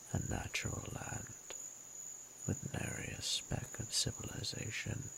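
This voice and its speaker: Man voicing a very gravelly, regal flirtatious dragon. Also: bright, forceful tone, slight background noise, full character voice, low-quality recording. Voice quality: very deep, breathy, gravelly. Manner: formal, archaic, teasing and courtly. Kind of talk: romantic audio roleplay, intimate slow monologue.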